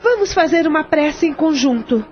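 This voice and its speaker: An actress playing a calm, caring aunt who speaks in a gentle but firm, soothing way in an audio drama. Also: female voice, sits high, normal speed. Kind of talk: radio drama acting. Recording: muffled lo-fi audio with music.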